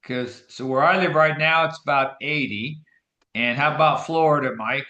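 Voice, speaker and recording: A man's voice: a little high, moderate pace, pushed and bright, muffled recording. Chatty man in an echoey room. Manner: chatty, inquisitive. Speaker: session participant. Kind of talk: casual small talk.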